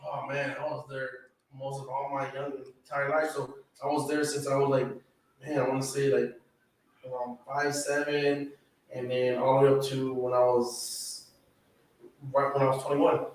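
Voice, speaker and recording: A man's voice: mid-range voice, level tone, normal speed, recorded from a distance. A man who grew up around Atlanta, talking casually on a podcast, swearing freely and filling his talk with "you know". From Atlanta, Georgia area, United States. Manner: laid-back, swears freely, peppers speech with "you know". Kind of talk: casual chat. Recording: very echoey room, from off-mic.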